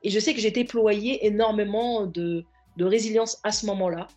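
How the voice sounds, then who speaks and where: A woman's voice: mid-range voice, fairly even intonation, moderate pace, darkish tone. A woman therapist and coach, a podcast guest, speaking earnestly in reflective interview talk and often circling back to find her words. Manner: earnest, searching for words, stacking restarts.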